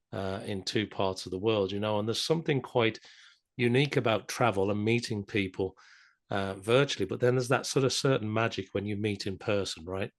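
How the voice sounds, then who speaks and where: A man with a fairly low voice hosts a podcast interview. He talks conversationally and builds up to his questions in a discursive way.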